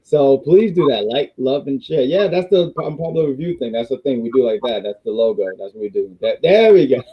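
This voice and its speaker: Hoarse man hosting a wrestling review. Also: medium pitch, animated, moderate pace, muffled recording. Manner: rambling, restarts, reads viewer chats. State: losing his voice. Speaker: wrestling review show host. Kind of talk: casual live-stream review chat.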